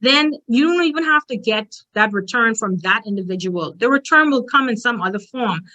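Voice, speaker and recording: A woman's voice: fairly high pitch, animated, normal speed, sharp tone, poor audio. A woman from the Bahamas with a strong Bahamian accent, giving a direct, emphatic motivational presentation as a conference speaker.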